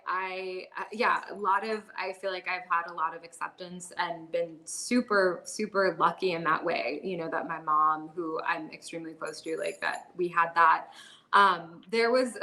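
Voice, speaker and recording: A woman's voice: on the low side, pushed and bright, low-quality recording. A woman in her thirties, a podcast guest, talking in a candid, chatty way, full of "like" and "you know" fillers and light laughter. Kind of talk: candid conversational storytelling.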